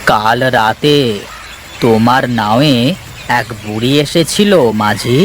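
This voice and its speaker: A man voicing the knowing, gentle ghost of a wandering minstrel in a cartoon, drawing out his words soothingly. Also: mid-range voice, takes it slowly, noisy background, voice pushed down, lightly acted. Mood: mysterious. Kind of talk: cartoon voice acting.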